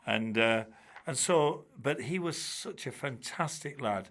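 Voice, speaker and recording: Older man, bright tense tone, mid pitch, rambling anecdotes, loose conversational talk. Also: lively intonation, slow pace. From Bradford, England. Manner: chatty, warm-humoured, many restarts and "you know"s. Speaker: guest, charity trustee and former teacher. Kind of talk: relaxed storytelling in an interview.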